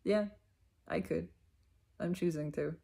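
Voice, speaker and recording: Woman, low voice, vocal fry, reflective self-help musing, unhurried, emphatic repetition. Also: dark tone. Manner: unhurried, emphatic, repeating phrases.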